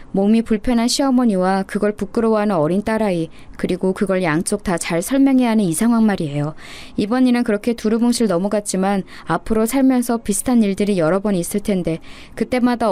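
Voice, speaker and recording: A woman's voice: mid-range voice, mellow. A woman reading a scripted first-person story as a narrator in a radio-story style.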